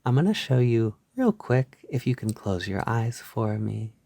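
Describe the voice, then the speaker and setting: A man leading a soft-spoken guided hypnosis, soothing and reassuring, gently repeating his phrases on a close mic. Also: medium pitch, very animated, a little slow, vocal fry, no room sound. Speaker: hypnosis audio creator guiding the listener.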